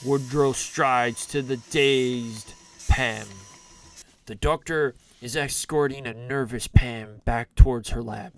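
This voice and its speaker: A man's voice: medium pitch, takes it slowly, dry recording. A man reading dramatic scripted narration for an audio drama.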